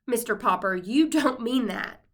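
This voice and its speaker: A woman voicing a mild, optimistic, dreamy husband in a storybook, speaking gently and earnestly. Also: a little high, animated, a little slow, full character voice. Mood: gentle concern.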